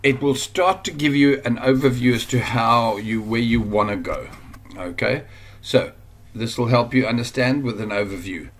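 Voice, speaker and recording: A man's voice: medium pitch, normal speed. A man with a South African accent giving an informal screen-recorded tutorial, rambling with fillers and restarts. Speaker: online course instructor. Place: at a computer, voice-over screen recording.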